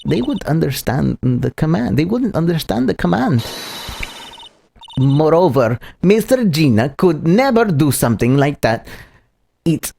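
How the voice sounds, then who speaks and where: A man's voice: fairly high pitch, big pitch swings, measured pace, vocal fry, mellow, voice pushed up, heavily acted. A man voicing an arrogant, condescending male prosecutor in a courtroom video game, with theatrical delivery. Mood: defensive. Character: foppish, arrogant male prosecutor sneering at a rival lawyer.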